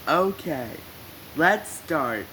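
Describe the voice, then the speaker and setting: A woman hosting a fan video, narrating in a plain, unhurried, friendly way. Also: low voice, lively intonation, background noise. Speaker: host of a fan video channel. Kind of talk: casual scripted narration.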